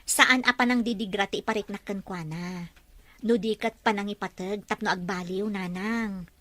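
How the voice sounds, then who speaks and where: A woman's voice: medium pitch, fairly even intonation, normal speed, sharp tone, no room sound. A woman playing a devoted, forgiving young wife in a drama, speaking in an earnest, pleading manner. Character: devoted, forgiving young wife hoping her cheating husband changes. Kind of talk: Ilocano radio-style drama acting.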